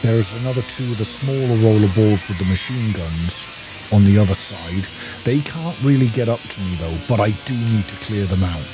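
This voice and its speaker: A man's voice: fairly low pitch, moderate pace. A man giving casual, understated gameplay commentary, half talking to himself as he plays. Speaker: gaming video host. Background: game music.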